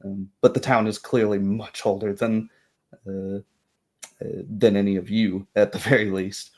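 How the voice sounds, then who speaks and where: Low-voiced male game master. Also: little pitch movement, normal speed, a little mellow. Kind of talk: narrating a tabletop roleplay scene.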